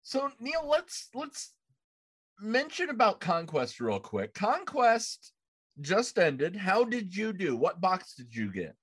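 A man co-hosting a casual gaming podcast, speaking deliberately with pauses between his phrases. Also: high voice, wildly swooping pitch, fairly bright tone, slightly rough. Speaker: podcast co-host.

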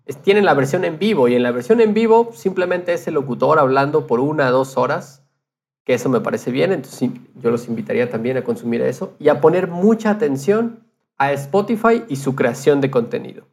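A man's voice: medium pitch, animated, brisk, gravelly, darkish tone. A man speaking casually as a podcast guest, explaining his thoughts in a reflective way. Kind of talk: casual conversation.

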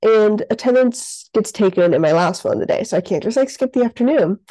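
A woman's voice: medium pitch, animated, brisk, mellow, no echo, poor audio. A young woman with a head cold, chatting casually as a podcast co-host, sounding stuffed up and filling her talk with "like". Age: young adult. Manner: chatty, lots of "like" fillers. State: sick, congested. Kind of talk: casual chat.

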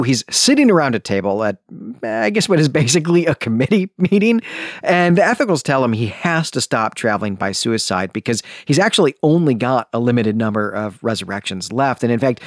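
A man hosting a podcast, telling a story in a conversational, animated way with casual asides. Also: mid-range voice, no echo, studio quality. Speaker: podcast host. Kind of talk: conversational book summary and commentary.

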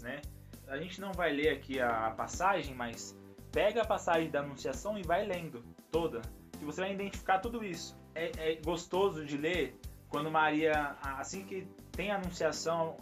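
Slightly high-voiced Brazilian man. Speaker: podcast co-host. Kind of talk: casual religious discussion. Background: music under the voice.

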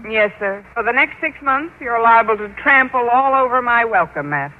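An American actress playing a wry, quick-witted high school teacher with dry wisecracks in radio sitcom style. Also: female voice, animated, lightly acted. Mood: polite, sly. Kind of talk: 1940s radio sitcom acting. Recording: dull, noisy vintage radio recording.

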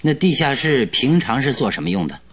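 An actor playing a shrewd, self-assured male detective who questions in a commanding, deliberate way in a radio drama. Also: medium pitch, sing-song, fairly bright tone. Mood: inquisitive. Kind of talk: Chinese radio drama acting.

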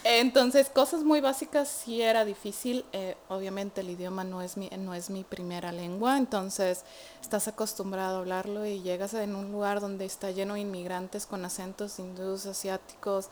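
A young Mexican woman with a Mexican Spanish accent, a guest in an interview, telling her story in an animated way with many fillers. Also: medium pitch, normal speed, slight background noise. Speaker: guest, immigrant to Canada. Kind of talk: casual interview chat.

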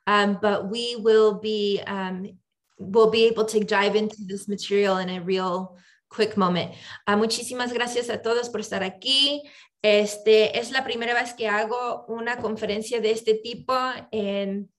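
A woman's voice: medium pitch, steady tone, a little slow. A woman attorney giving an online seminar, switching back and forth between English and Spanish with frequent ums. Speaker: immigration attorney and presenter. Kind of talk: bilingual online seminar presentation. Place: home video call. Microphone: video call microphone.

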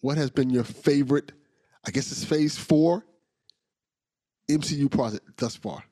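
A man's voice: on the high side, measured pace, mellow, no echo, clean studio sound. A man hosting a podcast in an enthusiastic, casual way, laughing often and repeating his words. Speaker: podcast host.

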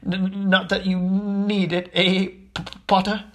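A storyteller voicing a nervous, timid young male professor with a heavy, halting stutter. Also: mid-range voice, flat delivery, voice pushed up, natural voice. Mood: nervous, fearful.

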